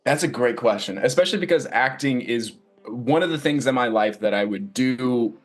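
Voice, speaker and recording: A man, an actor, talking casually in an interview with an animated, playful, enthusiastic manner. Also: mid-range voice, normal speed. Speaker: stage actor being interviewed.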